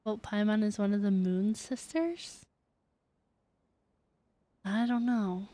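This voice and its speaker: A woman's voice: mid-range voice, takes it slowly, warm tone, dry recording. A woman game streamer chatting casually to her live viewers as she plays.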